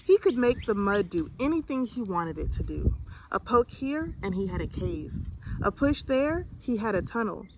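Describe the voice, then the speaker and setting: A woman reading a children's picture book aloud in an expressive storytelling style. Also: medium pitch, normal speed, background noise. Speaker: storybook reader.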